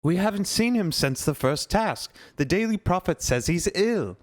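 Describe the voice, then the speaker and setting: A man's voice: sits high, animated, moderate pace, no echo, clean studio sound, pitched above the performer's own voice. A man voicing a curious, brave teenage schoolboy who talks in a friendly, coaxing way.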